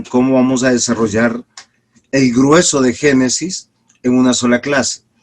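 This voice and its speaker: A man teaching an online Bible class as a pastor, a little congested from a cold, who speaks deliberately with restarts and stretched-out words. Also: mid-range voice. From Peru. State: slight cold with a cough.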